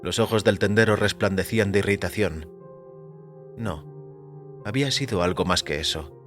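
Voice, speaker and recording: A man reading a first-person short story as a narrator, in a measured, deliberate way. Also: low-pitched, fairly bright tone. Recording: music under the voice. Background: background music.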